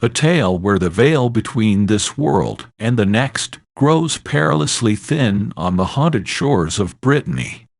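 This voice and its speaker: A man's voice: medium pitch, expressive, unhurried, gravelly, few pauses, dry recording, studio quality. A man narrating a scripted horror story in an ominous, theatrical and solemn manner.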